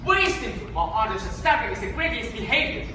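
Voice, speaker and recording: A woman's voice: a little high, big pitch swings, fast. A woman playing a stern army captain in an amateur skit, preachy and commanding. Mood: lecturing. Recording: echoey room, mic far off, noisy.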